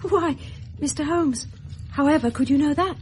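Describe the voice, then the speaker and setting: Actress as high-voiced, guarded young English lady. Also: normal speed, a little mellow, a little hiss or hum, natural voice. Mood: defensive, uneasy. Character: guarded, well-bred young Victorian lady under questioning. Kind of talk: British radio drama acting.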